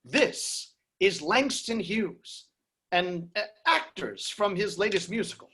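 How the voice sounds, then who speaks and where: A man's voice: high-pitched, animated, bright, forceful tone, heavily acted. A man playing a brash, flustered Hollywood studio boss who stammers his way through a script table read. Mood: glib. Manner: stammering, fast-switching, salesman-like.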